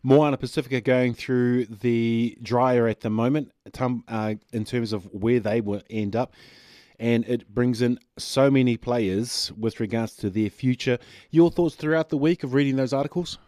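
A man's voice: mid-range voice, moderate pace, warm tone, no room sound. A man hosts a radio sports show in a dry, close studio sound. He sets up each topic with short prompts and keeps the conversation moving. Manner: steers the talk, brief prompts and topic setups. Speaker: radio host.

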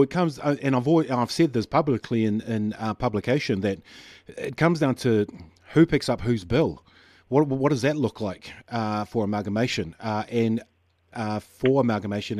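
A man hosting a radio show, asking questions in a thoughtful, measured way. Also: fairly low pitch, a little mellow, no echo, studio quality. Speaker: radio host. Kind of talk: radio interview.

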